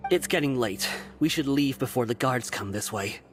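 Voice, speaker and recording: A man's voice: mid-range voice, animated, moderate pace, soft background music, lightly acted. A man playing an earnest young prisoner. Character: loyal male prisoner crew member, earnest. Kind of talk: game voice acting.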